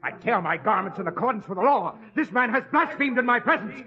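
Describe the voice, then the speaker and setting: A man playing a scheming, zealous high priest in a biblical radio drama, speaking in a formal, insistent, declamatory way. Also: animated, a little fast. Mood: accusing, outraged. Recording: dull, lo-fi radio drama recording.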